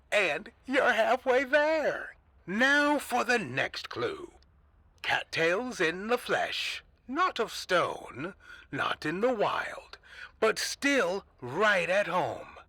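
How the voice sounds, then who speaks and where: A man playing a cryptic, slyly menacing old man leaving recorded clues in an audio drama, theatrical and deliberate. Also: high-pitched, very animated, creaky, sharp tone, dry recording, full character voice. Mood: encouraging, mysterious. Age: older. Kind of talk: comedic audio drama acting.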